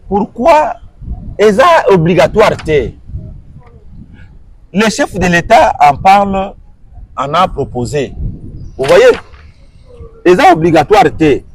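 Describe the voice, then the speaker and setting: Animated man with a high lively voice. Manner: argumentative, asks rhetorical questions, mixes French and Lingala. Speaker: guest. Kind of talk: animated interview answers.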